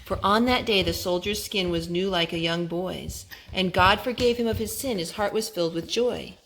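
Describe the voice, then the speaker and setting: A mother reading a rhyming children's book aloud to her child, gentle and sing-song, pausing to ask him questions. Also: on the low side, slight background noise, poor audio. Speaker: mother and storytime host.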